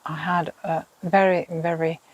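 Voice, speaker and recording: A woman in her fifties from Finland, a native Swedish speaker with a Finland-Swedish accent, hosting a knitting podcast in a casual, thoughtful way and pausing often to find her words. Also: fairly low pitch, flat delivery, dry recording, dull audio. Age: 50s. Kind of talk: casual chat to camera. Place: outdoors in a home garden.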